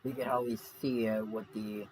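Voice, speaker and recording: A man hosting a casual livestream, speaking in a halting, repetitive way. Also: fairly low pitch, level tone, darkish tone. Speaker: livestream reaction host. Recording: noisy home livestream audio.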